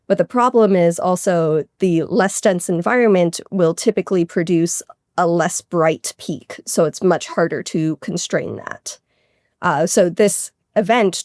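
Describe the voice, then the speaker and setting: A woman's voice: lowish voice, darkish tone, no echo, studio quality. A woman astronomer being interviewed, explaining technical science in a casual, conversational way peppered with "like".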